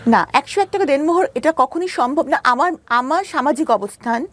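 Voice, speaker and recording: A woman with a Bangladeshi accent giving a candid, personal account in a radio interview, halting at times and re-explaining herself as she goes. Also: high voice, animated. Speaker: interview guest telling her life story; doctor. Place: radio studio.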